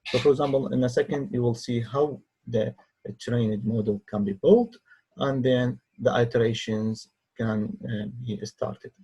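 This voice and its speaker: A man who speaks English as a second language narrates a software tutorial as a researcher, in a halting way with hesitant pauses. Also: medium pitch, steady tone, husky, poor audio. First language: not English. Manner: halting, non-native phrasing with hesitant pauses. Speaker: researcher demonstrating own software. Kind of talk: tutorial screen-recording narration.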